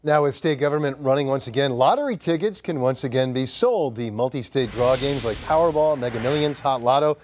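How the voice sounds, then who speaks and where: A man's voice: mid-range voice, darkish tone. An American man anchoring TV news, reading scripted stories.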